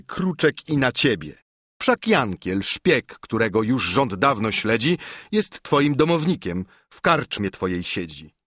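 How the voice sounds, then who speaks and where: A haughty, greedy army major from a storybook, acted out by a male reader. He blusters and sneers with angry menace. His voice swings widely in pitch, close and dry. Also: fairly bright tone, no room sound. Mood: menacing.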